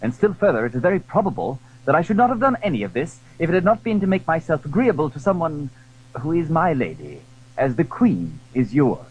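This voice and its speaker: A man puts on a gallant young musketeer, earnest and formal. The voice is pitched up and lively, eager yet respectful. It sounds like an old, hissy, dull radio drama. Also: a little fast. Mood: proud, sincere. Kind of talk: period radio drama acting.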